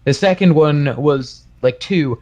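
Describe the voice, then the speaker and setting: A man's voice: fairly high pitch, takes it slowly, mellow, a little hiss or hum. A man chatting casually and joking with friends as a co-reader of a story.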